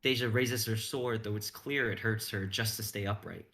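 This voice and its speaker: Young adult man, low register, suspenseful dramatic narrator for an action fantasy. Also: steady tone, normal speed, a bit bright. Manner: intense, suspenseful storytelling. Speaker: stream host and narrator.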